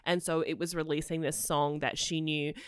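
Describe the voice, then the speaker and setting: Woman, gossip podcast co-host, chatty and quick with asides, lowish voice, close dry studio sound. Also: level tone, smooth, no room sound. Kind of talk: casual gossip chat.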